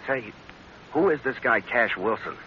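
An actor playing a tough, wisecracking sea captain in an old-time radio adventure, with breezy, slangy talk. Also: male voice, mid-range voice, animated, a little slow, natural voice. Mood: low, wry. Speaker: hero and first-person narrator. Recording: dull, hissy old radio recording.

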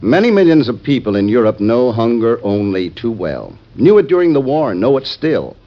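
A man's voice: mid-range voice, sing-song, normal speed. A man reading scripted announcements in a 1940s radio announcing style. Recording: muffled old radio recording.

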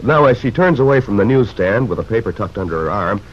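A man's voice: lowish voice, lively intonation, fast talker. A man narrating an old radio serial in scripted storytelling style. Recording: dull, noisy old radio recording.

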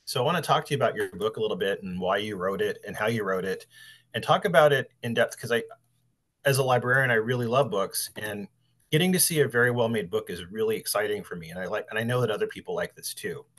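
A man's voice: fairly low pitch, fast talker, a bit bright. A man in his sixties hosting a podcast and talking about books. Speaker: podcast host and librarian. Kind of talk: podcast talk, introducing and interviewing an author.

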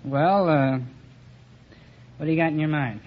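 A man from St. Louis answering a quiz host in a hesitant, halting way. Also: on the high side. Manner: hesitant, halting with many pauses. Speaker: quiz contestant. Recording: dull old radio recording, loud audience, heavy hiss.

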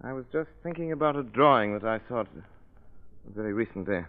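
An actor playing an urbane, skeptical gentleman sleuth in a 1940s radio drama, dry and unruffled. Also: medium pitch, animated, a little fast, close to an everyday voice. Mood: musing. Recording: tinny old radio recording.

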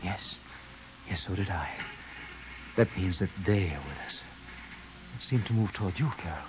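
A courteous, earnest séance sitter. His voice is low, hushed and formal, heard on a muffled old radio drama recording with clear noise. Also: expressive, moderate pace. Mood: hushed, expectant. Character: polite, earnest gentleman at a séance. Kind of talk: 1950s radio drama acting.

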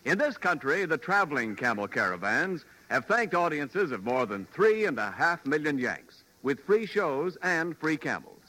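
A man's voice: fairly high pitch, sing-song, moderate pace, few pauses, bright, forceful tone, low-quality recording. An American man reading scripted announcements in 1940s radio style. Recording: vintage radio recording, faint audience.

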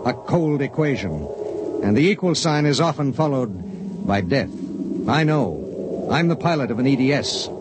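An actor playing a sober, grave spaceship pilot who narrates a grim frontier story in 1950s radio drama style. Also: male voice, medium pitch, big pitch swings, measured pace. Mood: grave, stern. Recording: noisy old 1950s radio recording.

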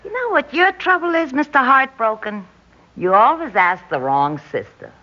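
A woman's voice: sits high, sing-song, moderate pace, pushed and bright, exaggerated voice. An actress playing a sardonic, man-hungry divorced diner woman in a 1960s radio drama, with slangy, blunt American delivery. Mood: brash, flirty. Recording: dull vintage radio recording.

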